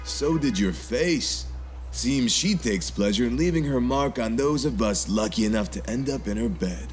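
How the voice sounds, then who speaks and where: A man's voice: medium pitch, animated, normal speed, faint music behind, lightly acted. A man playing a smirking, sardonic gang leader in a dramatic fan dub, taunting with mock politeness. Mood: smug.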